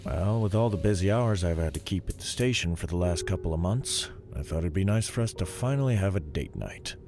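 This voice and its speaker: Male actor as world-weary detective, gravelly fry, swinging intonation, sardonic, devoted husband, audio drama. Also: sits low, animated, fairly quick. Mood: affectionate, playful. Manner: dry, sardonic. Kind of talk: audio drama acting and first-person narration.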